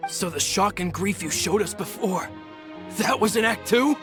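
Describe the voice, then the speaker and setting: A man's voice: high voice, moderate pace, close to an everyday voice. An actor playing a loyal young underling who urgently defends and protects his boss in a game. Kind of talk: game voice acting. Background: game music.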